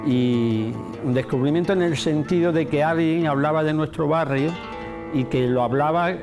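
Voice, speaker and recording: A man with a fairly high voice speaks as a local resident in a documentary interview. Guitar music plays clearly behind him. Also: normal speed. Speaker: neighbourhood resident.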